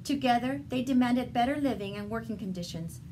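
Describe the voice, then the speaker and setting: A woman reading a children's picture book aloud in a deliberate, expressive storytelling manner. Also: a little high. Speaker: storybook reader. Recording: clearly noisy background ambience.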